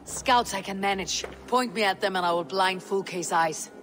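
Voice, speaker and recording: A woman's voice: medium pitch, normal speed, a bit bright, soft background music, close to an everyday voice. An actress voicing a young, brash and determined female Viking warrior leader, blunt and resolute, in a video game. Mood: impatient. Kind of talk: video game cutscene acting.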